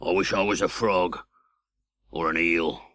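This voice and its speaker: A man voicing a menacing, rough escaped convict in an audiobook, with a harsh, rasping voice and an English working-class dialect. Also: sits low, fairly even intonation, unhurried, sharp tone. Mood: brooding. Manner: threatening, growling, uneducated speech. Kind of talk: audiobook character acting.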